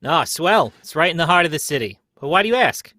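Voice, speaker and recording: Guy doing a no-nonsense cop inspector for an old radio play, bright voice, dry sound. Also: a little high, big pitch swings, fast talker, no room sound. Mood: curious. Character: brisk, plain-spoken male New York police inspector. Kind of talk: live old-time radio drama reading.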